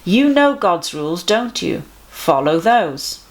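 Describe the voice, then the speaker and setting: A woman voicing a friendly, earnest male teacher in a children's storybook, emphatic and gently instructive. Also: fairly low pitch, very animated, slow, exaggerated voice. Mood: firm, instructive.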